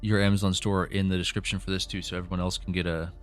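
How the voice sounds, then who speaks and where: A man's voice: sits low, little pitch movement, fast. A man co-hosting a podcast, chatting casually and plugging the show and its guest. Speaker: podcast co-host. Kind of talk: casual podcast chat and plugs. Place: remote online recording session. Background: music under the voice.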